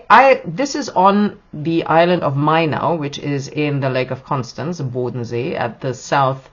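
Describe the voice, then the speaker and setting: A hoarse middle-aged woman hosting a podcast, talking in a chatty, rambling way with restarts. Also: sits low. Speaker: podcast host. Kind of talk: casual podcast monologue. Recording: dull lo-fi recording.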